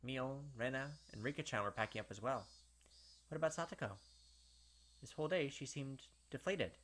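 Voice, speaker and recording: A man's voice: mid-range voice, expressive, normal speed, fairly bright tone, slight background noise. A young man hosting a gaming video, reading story text aloud with casual, filler-heavy asides.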